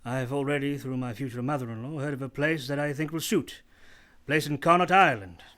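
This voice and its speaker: A man playing a brooding, teasing gentleman master in love, with formal, theatrical delivery in a radio play. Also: mid-range voice, fairly quick, lightly acted. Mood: brisk, teasing.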